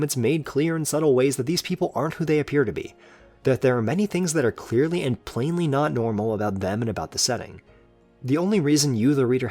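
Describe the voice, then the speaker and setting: A man's voice: mid-range voice, slightly rough. A man reading scripted, analytical video essay narration in an earnest, emphatic and articulate way.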